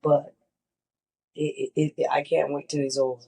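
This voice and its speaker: A congested middle-aged woman with a gravelly, mellow voice. She talks candidly in a casual interview and repeats words for emphasis. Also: sits low, flat delivery, normal speed. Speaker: interviewee sharing her chemo experience.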